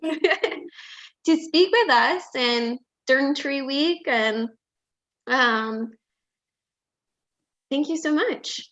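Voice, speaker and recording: A woman's voice: sits high, extremely slow, long pauses, fairly bright tone. A woman with an American accent casually hosting an event and interviewing a guest. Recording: dull, lo-fi online audio.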